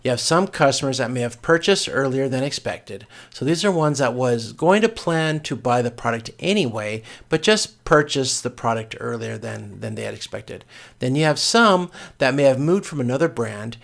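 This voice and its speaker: A man giving a recorded lecture as a course instructor, explaining step by step in a halting way and often correcting himself. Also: medium pitch, animated, vocal fry.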